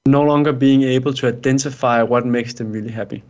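A man's voice: mid-range voice, fairly even intonation, a little fast, a little mellow. Danish guy, english isnt his first language, earnest coach advice, dull lo-fi sound. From Denmark. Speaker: guest, life coach. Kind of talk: interview answers.

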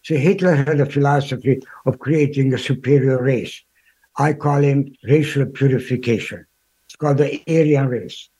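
A man in his eighties from Hungary, speaking with a Hungarian accent, answering interview questions in a halting way with restarts. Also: mid-range voice, mellow, husky, low-quality recording. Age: 80s. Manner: halting, repeats and restarts words. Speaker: guest, Holocaust survivor. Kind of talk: interview answers, recounting history.

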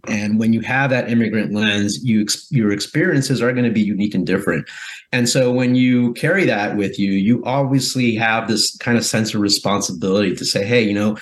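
A man from Guatemala, a guest in an interview, giving thoughtful answers with frequent pauses and restarts. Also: lowish voice, low-quality recording. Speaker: guest, corporate philanthropy executive.

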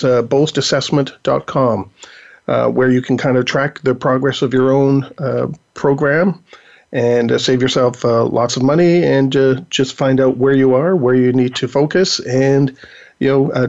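A man hosts an internet talk show in a casual, rambling way with plenty of fillers. His voice is slightly worn, and the recording sounds dull and lo-fi. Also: medium pitch. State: losing his voice. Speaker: podcast host. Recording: dull lo-fi audio.

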